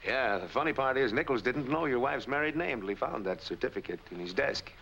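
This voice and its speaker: Rapid, sharp-voiced noir investigator. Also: male voice, mid-range voice, expressive, creaky. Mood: obliging. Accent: American. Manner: deferential, chatty. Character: brisk, obliging male hired investigator. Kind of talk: 1940s film noir acting. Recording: dull, noisy old film soundtrack.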